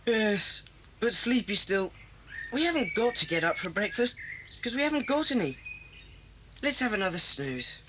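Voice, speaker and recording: A woman playing a laid-back, teasing schoolboy with a husky voice and matter-of-fact manner, in a 1980s children's audio drama. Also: sits low, expressive, fairly quick, noisy background. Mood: sleepy.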